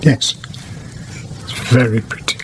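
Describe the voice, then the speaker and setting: Shy hermit weaver in radio play, slow hesitant polite, bit of background noise. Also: male voice, fairly bright tone. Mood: reserved, hesitant. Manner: halting, reserved, few words. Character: reclusive, hesitant weaver man, polite and withdrawn. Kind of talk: radio drama acting.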